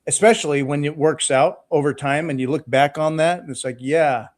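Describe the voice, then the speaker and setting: Easygoing higher-voiced male host. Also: steady tone, moderate pace. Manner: easygoing, folksy sayings, frequent fillers. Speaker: podcast host. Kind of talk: casual interview conversation.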